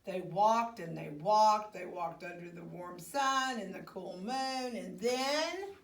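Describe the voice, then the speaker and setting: A woman's voice: medium pitch, animated, takes it slowly. A woman storyteller reading a children's picture book aloud expressively, with little asides to her young listeners. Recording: roomy, echoey, distant microphone.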